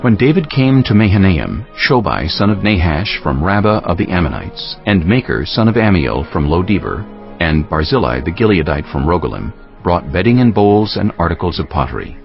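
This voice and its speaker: A man narrating scripture as a dramatic audio production, in a solemn, deliberate storytelling manner. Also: deep voice, big pitch swings, vocal fry, muffled recording. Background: music.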